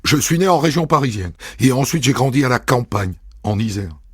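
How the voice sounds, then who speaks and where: A man telling a first-person story as a narrator, in a measured, intimate reading. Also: mid-range voice, animated, slightly rough, dry recording. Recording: dry studio voice over soft music.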